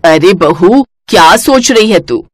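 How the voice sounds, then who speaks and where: A woman's voice: lowish voice, animated, slow, dry recording, studio quality. A woman voicing a nagging, easily embarrassed middle-aged mother-in-law in a cartoon family story. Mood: scolding. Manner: nagging, chiding.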